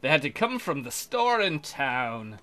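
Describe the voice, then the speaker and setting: A man's voice: mid-range voice, very animated, a little slow, pushed and bright, soft background music. A man hosting a let's play, reading visual novel text aloud and cracking irreverent, crude jokes. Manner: irreverent, crude joking, frequent mock voices. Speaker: let's play video host.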